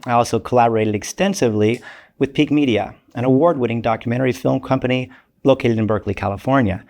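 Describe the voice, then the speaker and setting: An older male economist speaks in a measured, formal way, as if giving a lecture. His voice has a gravelly edge, and his pitch sits in the middle range for a man. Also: darkish tone. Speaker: economics professor. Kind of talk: prepared explanatory talk.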